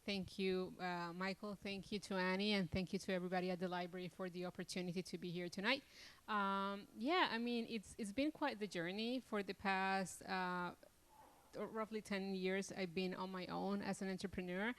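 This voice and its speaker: A woman's voice: mid-range voice, steady tone, normal speed, a bit bright. A middle-aged woman from Colombia, answering interview questions in a rambling way, with many restarts and fillers. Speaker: entrepreneur interview guest.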